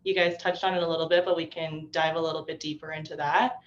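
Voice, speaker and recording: A woman hosting an online panel discussion over a video call, friendly and quick to laugh. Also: sits low, level tone, lo-fi sound, a little hiss or hum. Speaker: panel host.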